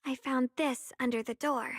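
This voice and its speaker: A woman playing a guarded, wry young swordswoman in a video game, speaking in a measured, softly dramatic way. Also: sits high, fairly even intonation, close to an everyday voice. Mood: candid, wistful. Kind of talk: video game story voice acting. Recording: game music playing under the voice.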